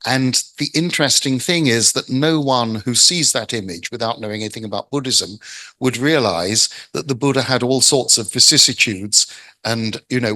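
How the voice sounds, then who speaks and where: A man, the invited speaker and author, giving a measured, thoughtful talk with many ums. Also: mid-range voice, bright, forceful tone, no echo, rough recording. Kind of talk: talk on Buddhist scripture.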